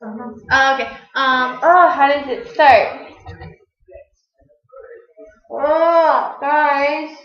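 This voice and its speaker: A woman's voice: high voice, very slow, pauses often. A young woman hosting a casual vlog with friends, chatty and giggly, in a small room at night on a laptop webcam. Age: young adult. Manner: chatty and giggly, breaks into singing. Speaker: vlog host. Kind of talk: casual chat. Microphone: laptop webcam at desk distance. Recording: roomy, dull lo-fi webcam sound.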